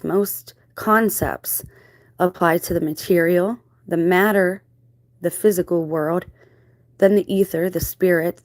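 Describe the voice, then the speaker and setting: A woman's voice: on the low side, vocal fry, darkish tone, dry recording, clean studio sound. A woman hosting an explanatory monologue, posing rhetorical questions and checking in with the listener as she goes. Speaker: video host. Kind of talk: explanatory monologue on spiritual theories.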